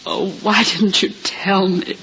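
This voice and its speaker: A woman's voice: medium pitch, fairly even intonation, takes it slowly, a bit bright, slightly rough, full character voice. An actress playing a vulnerable, hopeful young blind woman in love, hesitant and earnest, in a 1950s radio drama. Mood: surprised, hurt. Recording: dull, hissy 1950s radio recording.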